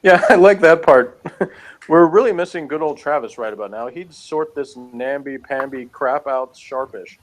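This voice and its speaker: A man's voice: on the high side, moderate pace. Man rambling through an opinionated casual review. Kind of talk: casual chat, episode review.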